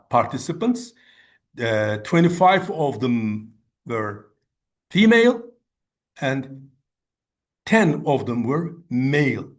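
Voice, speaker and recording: A man with a Turkish accent and Turkish as his first language, giving an academic conference presentation in a measured way, often restating points. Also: mid-range voice. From Turkey. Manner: measured, restates and rephrases with "I mean".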